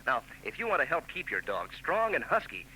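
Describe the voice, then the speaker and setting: Bright, persuasive 1940s radio announcer. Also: male voice, fairly high pitch, huge pitch swings, a little fast, slightly rough, poor audio. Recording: old radio broadcast with faint noise.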